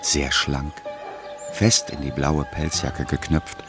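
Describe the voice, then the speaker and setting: An actor as a measured literary narrator, giving scripted narration of a period tale in a gravelly voice. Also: male voice, extremely low pitch, flat delivery, music in the background, full character voice. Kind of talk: scripted narration in a radio play.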